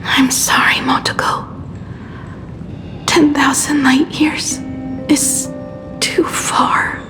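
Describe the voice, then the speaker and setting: An actress playing a frail young woman engineer in a game drama, soft and breathy, with a wistful, weary manner. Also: sits high, flat delivery, whispered, music in the background. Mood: despairing, wistful. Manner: slow, sighing, wistful.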